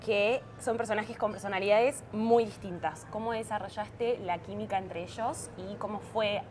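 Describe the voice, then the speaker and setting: A woman's voice: medium pitch, steady tone, a little fast, background noise. A young woman from Argentina interviewing authors, friendly and laughing often, speaking with an Argentine accent. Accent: Argentine Spanish. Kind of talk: casual interview.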